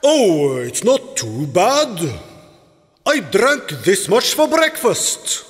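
A man playing a goofy, clumsy, boastful helper in an animated fairy tale. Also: high voice, drawn-out words, heavily acted. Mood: boastful, unimpressed.

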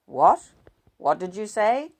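A woman's voice: fairly low pitch, unhurried, creaky, a little mellow, voice pushed up. A woman voicing a wounded, bitter husband who growls and turns sarcastic. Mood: tense.

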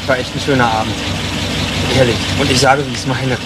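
A man's voice: medium pitch, fairly even intonation, fairly quick, very noisy, lightly acted. A young man acting a cheeky, easygoing homeless youth in a radio crime drama, talking in casual slang.

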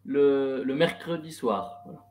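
A man's voice: medium pitch, lively intonation, a little slow, a little mellow, a little hiss or hum. A young man from France, a guest on a video call, cheerful and hesitant, repeating his words. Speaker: guest presenter. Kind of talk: casual chat on video call. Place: home room. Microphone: headset.

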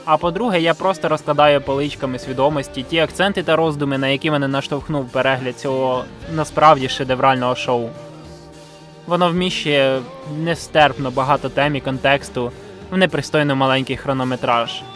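A man's voice: mid-range voice, fairly bright tone. A man narrating a scripted video essay in a reflective, sentimental way, with casual slang and some swearing. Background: music behind the voice.

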